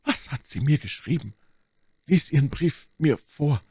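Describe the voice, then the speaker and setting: Wounded English duke for a dramatic audiobook, played by a man: impassioned, imperious, strained, voice lifted high. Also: animated, normal speed, a little mellow, breathy edge, dry recording, studio quality. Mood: urgent, pleading. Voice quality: faint, strained voice. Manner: exclamatory, pleading, impatient. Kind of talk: dramatic audiobook character reading.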